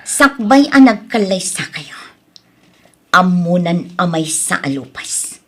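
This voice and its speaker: A woman's voice: lowish voice, sing-song, slow pace, heavily acted. A woman acting an anxious, aggrieved mother in an Ilocano radio drama, speaking earnestly with an Ilocano accent. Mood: hesitant, confiding.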